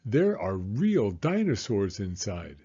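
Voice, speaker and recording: A man voicing an excitable, teasing young girl beaver with an emphatic, giggly manner in a storybook. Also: lowish voice, slow pace, husky, highs cut off, heavily acted. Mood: emphatic, boastful.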